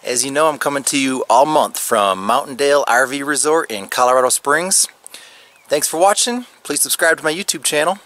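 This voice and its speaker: A man in his thirties talking casually to a handheld camera outdoors at a snowy campground, relaxed and chatty with fillers. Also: mid-range voice, brisk. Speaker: vlogger. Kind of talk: casual vlog talk to camera. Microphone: handheld camera at arm's length.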